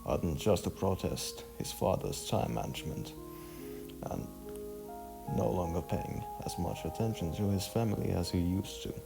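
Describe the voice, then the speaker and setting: A man narrating a scripted story in a halting way, stumbling over words. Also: sits low, big pitch swings, gravelly, over music.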